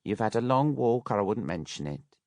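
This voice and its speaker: A man puts on a fawning workhouse matron from a classic storybook. She gushes and wheedles in uneducated speech, her pitch lifted and swooping with sugary insincerity. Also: lively intonation, brisk, a little mellow, dry recording. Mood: coaxing. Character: fawning, two-faced workhouse matron, adult woman.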